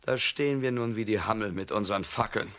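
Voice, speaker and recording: An actor playing a dour, scornful peasant brother in a children's radio drama, with a gruff, blunt and dry delivery. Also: male voice, on the low side, brisk, a bit bright, lightly acted. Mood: rueful.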